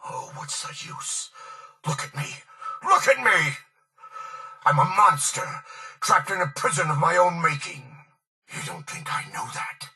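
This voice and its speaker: An anguished old tycoon trapped in a life-support machine, with a bright, piercing, forceful edge. His pitch swings widely through grandiose, bitter, self-pitying speech in theatrical game style. He sounds a little far from the mic, with music behind him. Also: animated, measured pace, gravelly, long pauses, heavily acted. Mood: anguished outrage. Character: anguished, proud old tycoon, a head kept alive by machine. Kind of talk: dramatic video game voice acting.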